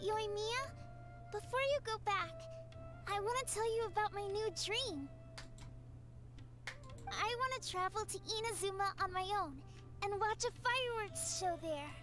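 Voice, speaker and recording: A woman voicing a tiny, childlike floating companion in a video game, squeaky and cutesy. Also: unhurried, long pauses. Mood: eager. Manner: squeaky, cutesy, refers to herself in third person. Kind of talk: game voice acting. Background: game music.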